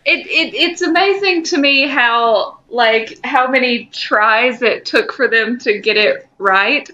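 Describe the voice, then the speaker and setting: A woman's voice: a little high, slow pace, bright, forceful tone, highs cut off. A woman co-hosting a casual pop culture podcast, informal and slangy as she shares her opinions. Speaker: podcast co-host. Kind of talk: casual podcast banter about films and comics.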